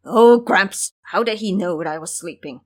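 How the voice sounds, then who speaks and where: A woman's voice: medium pitch, moderate pace, lightly acted. A woman voicing a foolish, cowardly male pig disciple in an audio drama, blustering and whining through lies. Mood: nervous.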